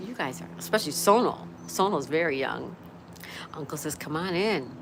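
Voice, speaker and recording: Low-voiced woman in her sixties, noisy background. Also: lively intonation, normal speed. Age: 60s. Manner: chatty, greets viewers and repeats phrases. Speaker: livestream host. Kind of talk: casual live chat, reading viewer comments aloud.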